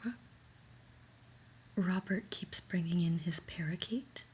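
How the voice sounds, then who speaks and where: A woman whispering an ASMR roleplay as an unimpressed new office boss, deliberate, mildly stern and deadpan, in a breathy voice. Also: lowish voice, slight background noise.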